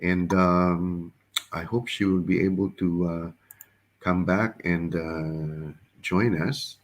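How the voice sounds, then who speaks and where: A man with a very deep, slightly raspy voice, recovering from the flu, hosts a podcast over a video call. He speaks in a casual, hesitant way, often stopping and repeating his words. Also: fairly even intonation, slow. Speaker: podcast host. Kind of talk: casual online interview.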